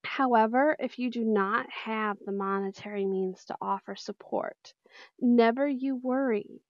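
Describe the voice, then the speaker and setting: A woman's voice: on the high side, a little slow, no room sound, highs cut off. A woman chatting casually and in a friendly way to her viewers as a channel host. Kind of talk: casual outro chat to viewers.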